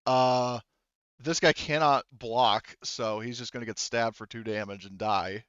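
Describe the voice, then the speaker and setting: A man acting as game master in a tabletop roleplaying game, improvising narration, thinking aloud haltingly and swearing casually. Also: medium pitch, steady tone, fairly bright tone, clear, resonant tone, no room sound, lo-fi sound.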